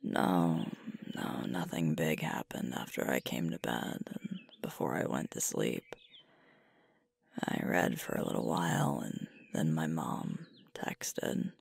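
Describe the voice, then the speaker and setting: A woman playing a sweet, sleepy alpha werewolf girlfriend in an intimate ASMR roleplay, speaking in a gentle, husky, unhurried murmur close to the microphone. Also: a bass voice, expressive, exaggerated voice. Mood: sleepy, soft. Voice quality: breathy, husky with creaky fry. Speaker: ASMR performer. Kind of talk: ASMR roleplay, intimate whispered and soft-spoken. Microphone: close mic, dry.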